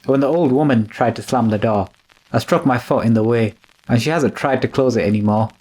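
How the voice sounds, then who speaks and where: A man's voice: mid-range voice, fairly quick, a little mellow, close to an everyday voice, rough recording. A man playing a deferential, uneasy manservant, polite and hesitant, in an old-time radio drama. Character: deferential, uneasy manservant following his master.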